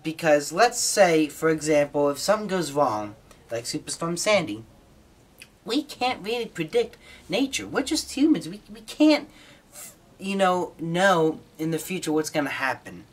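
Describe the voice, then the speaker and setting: An American man giving an informal, explanatory hobbyist talk, somewhat halting, with repeated words as he explains. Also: on the high side, sing-song. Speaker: hobbyist presenter.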